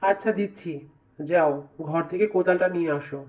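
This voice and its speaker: A man's voice: sits high, normal speed, natural voice. A man voicing a weary, pleading poor father. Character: poor, worried village father, humble and pious. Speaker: voice actor. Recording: dull, lo-fi recording.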